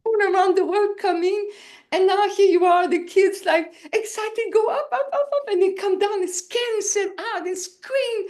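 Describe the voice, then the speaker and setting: A woman's voice: very high voice, normal speed. A middle-aged woman from Quebec, a native French speaker with a Quebec French accent, telling spiritual stories in an interview with laughs and acted-out scenes. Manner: animated storyteller, acts out scenes with laughs and cries. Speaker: guest.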